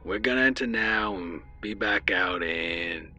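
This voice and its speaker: A man's voice: lowish voice, measured pace, pushed and bright, soft background music. A man voicing a serious male dive team leader who gives commands. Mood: commanding.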